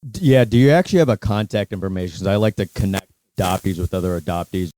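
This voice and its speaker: A man's voice: sits low, quick pace, dark tone, no echo. A man hosting a video-call interview, speaking casually and effusively with repeated words and restarts. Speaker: interviewer, host.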